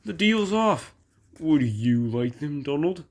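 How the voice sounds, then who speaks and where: A man voicing a cheeky little tank engine from a children's storybook, bright and mock-innocent in manner. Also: fairly high pitch, very animated, slow pace, pitched above the performer's own voice, full character voice.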